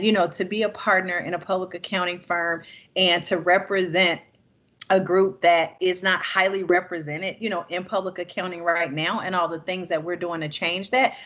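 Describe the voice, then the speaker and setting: Lower-voiced woman hosting a panel. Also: steady tone, normal speed, fairly bright tone. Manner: friendly, conversational, upbeat asides. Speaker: session host. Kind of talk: hosting a panel on a video call.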